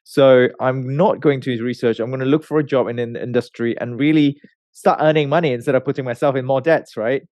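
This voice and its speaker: A man in his thirties from Malaysia, a research scientist telling his career story in a relaxed way, with many fillers and restarts. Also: mid-range voice, brisk, no room sound, clean studio sound. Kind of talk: conversational interview answers.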